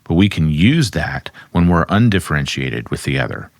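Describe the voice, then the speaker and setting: A man, a somatic therapist, calmly explaining and demonstrating grounding exercises at his desk. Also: very deep voice, moderate pace, dry recording. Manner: calm, instructive, speaks while demonstrating.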